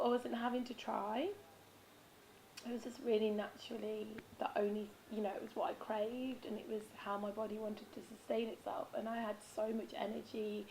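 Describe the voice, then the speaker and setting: A woman with a raspy, hoarse voice, chatting casually in a vlog about spiritual habits, with frequent ums and restarts. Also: mid-range voice, warm tone, slight background noise, rough recording. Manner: rambling, with many ums and self-corrections. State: hoarse, losing her voice. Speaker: vlogger.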